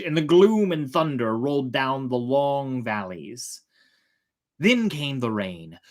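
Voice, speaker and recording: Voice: medium pitch, fairly bright tone. Theatrical storyteller with a widely swooping voice. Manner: theatrical, drawn-out emphatic delivery. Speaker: storyteller, host of a storytime show. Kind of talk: dramatic scripted folk tale narration.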